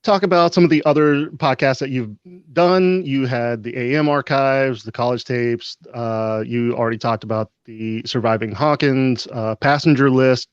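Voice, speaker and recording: A man's voice: mid-range voice, normal speed, smooth, no room sound. Upbeat male host, dull dry audio. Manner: upbeat, with frequent hesitations. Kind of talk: live stream interview hosting.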